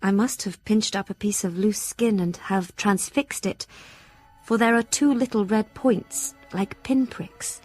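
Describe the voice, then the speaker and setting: A woman's voice: mid-range voice, a little slow, darkish tone, faint music behind, lightly acted. An actress playing a devoted, anxious young Victorian woman reading her journal and letters aloud in an audio drama, earnest and tender. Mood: worried, confiding.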